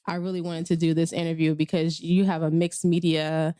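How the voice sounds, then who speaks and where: A chatty woman hosts a podcast interview, reading out a guest's bio and then bantering easily. Her voice sits a little low and sounds mellow and relaxed. The sound is dry, with no room echo. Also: brisk, clean tone. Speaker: podcast host. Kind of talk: read-out guest introduction then casual interview.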